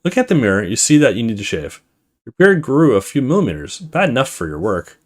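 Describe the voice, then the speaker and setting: A man's voice: medium pitch, big pitch swings, fast. A man hosting a gaming video, reading story text aloud expressively in a casual let's play style.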